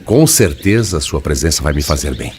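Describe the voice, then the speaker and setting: An actor playing a humble, weary, melancholy male spirit in an audio drama, speaking in a gentle, formal way over faint outdoor ambience. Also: extremely low pitch, very animated, moderate pace, slight background noise. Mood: humble, courteous.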